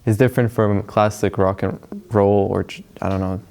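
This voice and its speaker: A man's voice: lowish voice, fairly even intonation, moderate pace, creaky, darkish tone. An eighteen-year-old young man from Romania giving a reflective answer with many repetitions. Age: teens. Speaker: interviewee.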